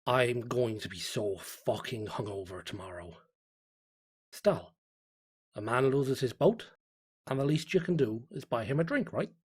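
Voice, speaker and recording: A man's voice: mid-range voice, a little slow, long pauses, breathy edge. A man playing a drunk, bitter, foul-mouthed fisherman grumbling through his diary in an audio story. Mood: drunken grumbling. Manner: grumbling, swearing. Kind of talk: first-person diary narration in a horror audio story.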